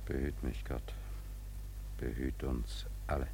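A grave first mate of a whaling ship in a radio drama. His voice is extremely deep and he speaks slowly, solemn and torn between duty and faith. A faint rumbling noise sits behind him. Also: fairly even intonation, gravelly, warm tone, full character voice. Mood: fearful prayer. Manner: solemn, anguished, measured. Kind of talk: German radio drama acting.

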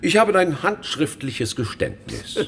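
An actor playing a menacing Soviet police chief inspector in a German radio crime drama, mocking, smug and theatrical. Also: male voice, fairly high pitch, very animated, measured pace, fairly bright tone, slight background noise, full character voice. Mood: smug, triumphant.

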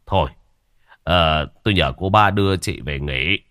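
A man voicing an older village husband who speaks in a gruff, dismissive, matter-of-fact way. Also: sits low, measured pace, a bit bright, dry recording, studio quality. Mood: gruff skeptical.

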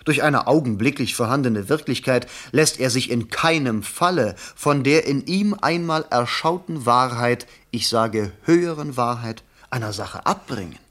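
An actor as a smooth, eloquent, cynical newspaperman. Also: mid-range voice, fairly bright tone, natural voice. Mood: suave, persuasive. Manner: eloquent, measured, persuasive. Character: smooth, cynical newspaper reporter coaching a politician. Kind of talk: 1970s German radio play acting.

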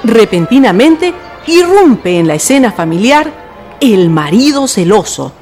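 A woman's voice: fairly high pitch, sing-song, measured pace, darkish tone, soft background music. A woman reading scripted narration for a radio drama.

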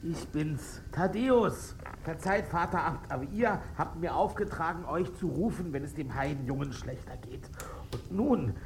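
Radio drama monk: timid messenger, deferential, hesitant, slight background noise. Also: male voice, on the high side, expressive, moderate pace. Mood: apologetic. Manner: hesitant, apologetic. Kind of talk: German radio drama acting.

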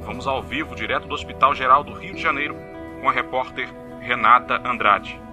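Formal Brazilian male news anchor acted by a man, serious, measured bulletin delivery over clear music. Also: mid-range voice, natural voice. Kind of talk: news bulletin acting in an audio drama.

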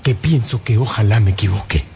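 An actor playing an anxious, obsessive astronomer in a radio drama, insistent and emphatic, speaking in clipped, repeated phrases. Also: male voice, lowish voice, a little fast, gravelly, music in the background. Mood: grave, worried. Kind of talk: Spanish-language radio drama acting.

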